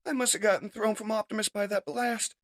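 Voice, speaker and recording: A man voicing a small, excitable, emotional red robot car in a comic dub, exclaiming with wonder. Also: high-pitched, a little fast, no room sound, studio quality, voice pushed up. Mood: dazed wonder. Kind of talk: comic dub character acting.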